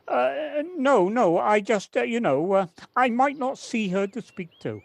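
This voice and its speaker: A woman's voice: fairly high pitch, expressive, unhurried, vocal fry, darkish tone, exaggerated voice. A woman acting a kindly but evasive, hesitant village woman in a home-recorded audio play. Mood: flustered, evasive. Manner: hesitant, faltering. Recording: home recording on personal device, faint noise.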